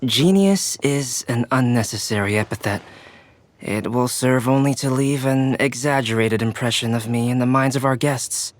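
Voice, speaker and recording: A man playing a calm, modest young alchemist who speaks formally in a video game. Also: mid-range voice, slight background noise, natural voice. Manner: formal, measured.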